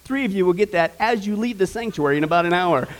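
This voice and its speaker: A man's voice: sits high, animated, fast talker. A man preaching a sermon in a church sanctuary, telling a joke and making folksy asides to the congregation. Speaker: pastor.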